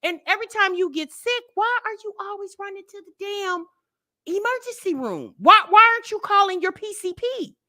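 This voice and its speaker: A woman's voice: extremely high pitch, expressive, moderate pace, bright, forceful tone. A woman hosting a reaction channel, chatting casually to her viewers, talking straight at them and repeating herself for emphasis.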